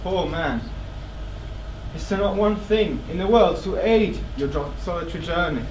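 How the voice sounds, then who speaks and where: A man's voice: sits high, lively intonation, normal speed. A man on stage playing a stern, dutiful but pitying royal kinsman in a classical tragedy, speaking formally and with command. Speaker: stage actor. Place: indoor theatre stage. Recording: echoey theatre, distant microphone, faint noise.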